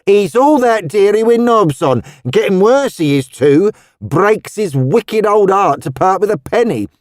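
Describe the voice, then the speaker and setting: A man putting on a short, wizened old Cockney char, high and sing-song as she natters on in a homely, motherly way. Her voice bounces up and down with lively cheer, dry and close to the mic, like a storybook reading. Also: unhurried, dry recording. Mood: cheerful, chatty.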